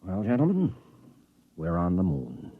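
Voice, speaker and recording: A brisk ship captain over tinny sound. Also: male voice, low voice, wildly swooping pitch, slightly rough, exaggerated voice. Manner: brisk, authoritative orders. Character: commanding male spaceship captain. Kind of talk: 1950s radio drama acting. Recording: tinny intercom-like old radio sound.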